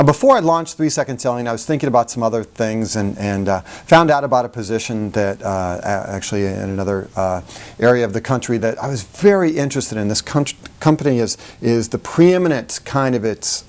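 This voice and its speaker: Male, middle-aged, medium pitch. Coach-style presenter, conversational and emphatic, tells anecdotes at his own expense. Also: a little mellow. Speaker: sales communication coach hosting a how-to video. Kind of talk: conversational how-to talk with personal anecdotes.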